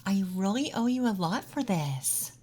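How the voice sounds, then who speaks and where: A flirty, teasing emo femboy classmate character in an ASMR roleplay, speaking softly and breathily close to the mic and often dropping into a whisper. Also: medium pitch, big pitch swings, slightly rough. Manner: teasing, flirty, unhurried with pauses. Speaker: ASMR content creator performing a roleplay. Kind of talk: ASMR roleplay, intimate soft-spoken and whispered. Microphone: close ASMR microphone.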